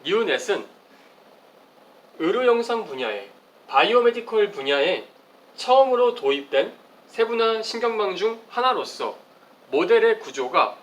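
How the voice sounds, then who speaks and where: A man's voice: sits high, very animated, a little slow, pauses often. A man delivers a formal academic presentation as a research presenter, explaining phrase by phrase. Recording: distant mic, faint background noise.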